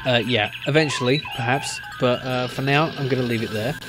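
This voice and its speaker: A man's voice: mid-range voice, brisk. A man hosting a gaming video, giving casual, musing and slightly hesitant commentary as he plays. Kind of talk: casual let's play commentary. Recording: game music behind the voice. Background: video game music.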